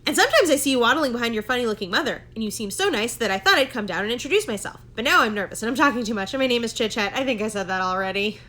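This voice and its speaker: A woman reading aloud, voicing a young, nervous female squirrel who rambles on in long, excited run-on sentences. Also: fairly high pitch, racing, hardly any pauses. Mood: excited rambling.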